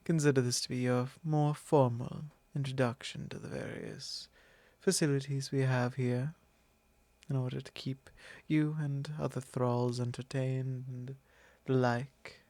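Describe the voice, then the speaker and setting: Vampire lord , male actor: courtly, possessive, condescending; unhurried creaky delivery, close intimate ASMR. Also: mid-range voice, flat delivery, no echo, lightly acted. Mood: smug, possessive. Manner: formal, unhurried, condescending, with soft chuckles. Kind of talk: ASMR roleplay, intimate monologue.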